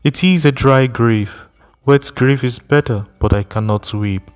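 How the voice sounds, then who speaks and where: A man's voice: medium pitch, unhurried, voice pushed up, natural voice. A man voicing a grieving young mother who speaks in anguish and despair in a storybook reading.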